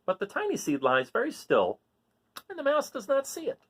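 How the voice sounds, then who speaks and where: A man reading a children's picture book aloud, with dramatic, drawn-out emphasis on key words. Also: very high-pitched, huge pitch swings, fairly bright tone, husky. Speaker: storybook reader reading aloud.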